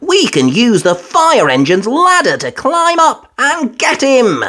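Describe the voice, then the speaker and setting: An excitable little girl pig from a children's storybook, voiced by a woman. The voice is pitched way up and swings about with eager enthusiasm. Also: slow, full character voice. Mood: helpful. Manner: bright-eyed eagerness, exclaiming.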